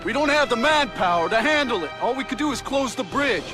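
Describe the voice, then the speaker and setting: A harried police officer in a video game. His voice is strikingly high and urgent, with music playing behind it. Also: lively intonation, brisk. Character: harried male police officer pleading for help.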